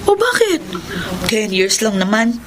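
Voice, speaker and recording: A woman's voice: on the high side, very animated, a little slow, crowd noise. An actress playing a worried, persuasive young wife and mother in a radio drama, pleading and insistent. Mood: earnest, persuasive. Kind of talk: Tagalog radio drama acting.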